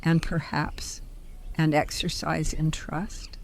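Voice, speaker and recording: A woman playing a composed, benevolent older stranger in an audio drama, speaking in a measured, polite, articulate way. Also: deep voice, flat delivery, creaky, a little hiss or hum, full character voice, low-quality recording. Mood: earnest, hopeful.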